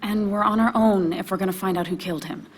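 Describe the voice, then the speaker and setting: A woman's voice: medium pitch, fairly even intonation, close to an everyday voice. Actress as a grieving, guarded young woman. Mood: resolute, subdued. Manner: halting, confessional delivery. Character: grieving, guarded young woman, emotionally raw but determined. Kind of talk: naturalistic video game acting.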